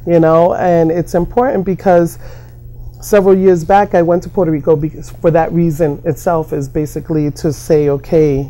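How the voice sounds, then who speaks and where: A middle-aged American woman answering informally in an interview, halting and often repeating her phrases. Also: deep voice, flat delivery, dark tone. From New York and Massachusetts, United States. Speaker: interviewee, community worker.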